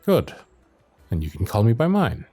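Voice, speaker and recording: Man acting a loyal, animated fantasy knight. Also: mid-range voice, normal speed, faint music behind. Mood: resigned, firm. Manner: old-fashioned courtly phrasing, teasing and earnest. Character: young loyal royal knight, teasing, exasperated, protective. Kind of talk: ASMR roleplay acting, fantasy audio drama.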